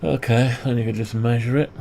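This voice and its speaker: A man's voice: mid-range voice, a little fast, gravelly. A man muttering to himself and questioning himself as he demonstrates design work, unscripted and thinking aloud. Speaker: video creator demonstrating CAD design. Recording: steady background noise, keyboard typing.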